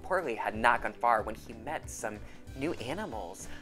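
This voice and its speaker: A woman reading a children's storybook aloud in an animated storytelling style. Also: extremely low pitch, normal speed, faint music behind.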